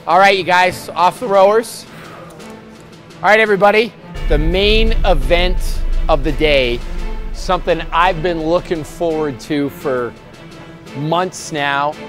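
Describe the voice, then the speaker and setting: A middle-aged American man with a slightly high voice speaks to a group as a gym coach. His delivery is emphatic and informal. Music plays clearly behind him. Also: takes it slowly, a bit bright. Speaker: gym instructor leading a challenge. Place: large gym hall with onlookers.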